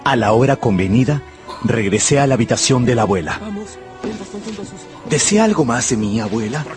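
An actor playing an earnest, lovestruck young man who narrates his own story in an expressive radio drama style. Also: mid-range voice, normal speed, over music, close to an everyday voice. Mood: earnest, narrating. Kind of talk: dramatised first-person narration.

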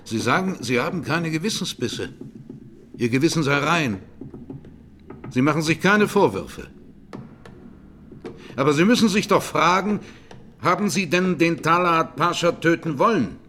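An actor playing a sober presiding judge in a 1920s trial radio drama, questioning formally and insistently. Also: male voice, a little high, expressive, unhurried, pauses often, bright, forceful tone, slight background noise. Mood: formal, probing.

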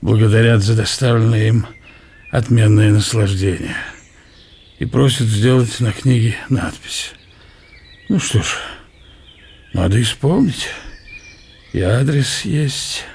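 An actor playing an ailing old scholar-poet in a radio drama, with a wry, gentle, measured delivery. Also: male voice, lowish voice, vocal fry, a little hiss or hum. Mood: wry, resigned. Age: older.

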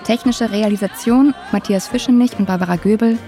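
A woman's voice: medium pitch, a little fast, warm tone, some chatter behind. A woman reading scripted radio play credits as an announcer, with music behind.

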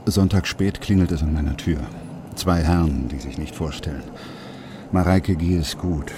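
An actor playing a secretive, worried brain surgeon father who speaks in an urgent, hushed, pleading way. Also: low voice, moderate pace, noisy background, natural voice.

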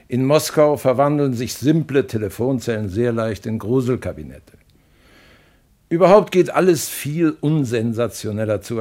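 Dismissive male attaché, lively intonation, raspy, emphatic lecturing, radio drama. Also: mid-range voice. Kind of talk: radio play dialogue.

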